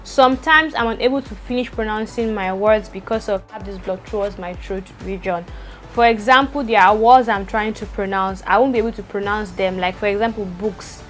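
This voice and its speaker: A young woman vlogging from home, talking very fast with a stutter and repeating words. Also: mid-range voice, faint music behind. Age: young adult. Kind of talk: personal vlog talk to camera. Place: indoors at home, rain outside.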